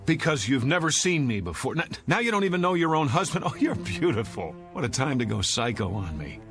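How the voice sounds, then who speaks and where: An actor playing an irritable, hungover husband in a suspense drama, sarcastic, bewildered and exasperated. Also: male voice, mid-range voice, very animated, fairly quick, a bit bright, faint music behind. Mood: mocking.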